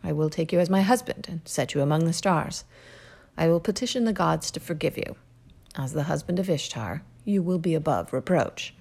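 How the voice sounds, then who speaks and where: A woman's voice: low voice, expressive, normal speed, gravelly, a little mellow, husky, full character voice. A woman reading aloud, voicing a haughty, commanding goddess who speaks in a grand, declaiming way. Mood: coaxing.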